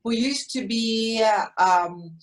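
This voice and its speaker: A woman's voice: a little high, slow pace. Polite woman moderator, noisy line. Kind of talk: introducing speakers on a video call. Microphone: video call. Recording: noisy video call audio.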